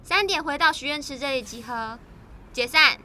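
A woman voicing a brisk schoolteacher giving instructions to her students on a trip. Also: high voice, pushed and bright, slight background noise. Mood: instructing.

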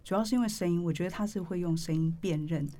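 A middle-aged woman with a Taiwanese Mandarin accent hosts a podcast interview, telling stories conversationally in deliberate, halting phrases. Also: fairly low pitch, mellow. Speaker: podcast host, publishing editor-in-chief. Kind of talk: conversational interview with reflective storytelling.

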